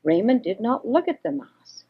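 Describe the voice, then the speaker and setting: Older woman, husky, reading a storybook. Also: a little high, big pitch swings, moderate pace. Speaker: storyteller reading for children and grandchildren. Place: home recording. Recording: dull homemade 1980s cassette recording.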